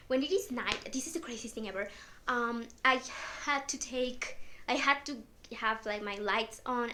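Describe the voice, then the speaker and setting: A woman's voice: on the high side, pushed and bright. A young woman, not a native English speaker, chatting casually as a book vlogger, with lots of fillers and small laughs. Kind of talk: casual chat.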